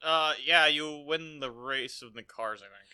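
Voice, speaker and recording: A man's voice: a little high, a little slow, sharp tone, smooth. A man co-hosting a podcast, chatting casually about a movie in blunt, slangy talk full of swearing. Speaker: podcast co-host. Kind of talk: casual chat, movie recap.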